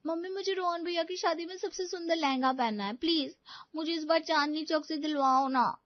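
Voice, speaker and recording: Woman as spoiled cartoon girl, demanding and wheedling, very high voice, dry sound. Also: fairly quick, dry recording, dull audio. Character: spoiled young daughter, daddy's darling, demanding.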